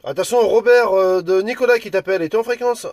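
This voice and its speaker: A man's voice: high-pitched, fast talker, a little mellow, a little hiss or hum. A man from France working as an amateur radio operator, making radio calls and chatting in a friendly, informal, hesitant way. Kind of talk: radio calls and casual chat.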